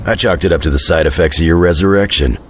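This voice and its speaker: Casting: gruff male game hero played by a man, extremely low, growling voice, casual and cocky, over a music score. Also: quick pace, close to an everyday voice. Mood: cocky, joking. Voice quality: deep gravelly growl. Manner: wry, casual, slangy. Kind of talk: video game cutscene acting.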